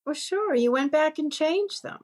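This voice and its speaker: A woman's voice: high voice, animated, a little slow, a little mellow. An actress playing a wry, wisecracking female companion in old-time radio comedy style. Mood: teasing, patient.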